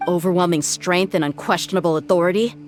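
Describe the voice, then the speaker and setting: A woman's voice: lowish voice, fast talker, darkish tone, soft background music, natural voice. A woman voicing a blunt, confrontational young mercenary in a video game. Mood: shocked, probing. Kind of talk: English video game voice acting.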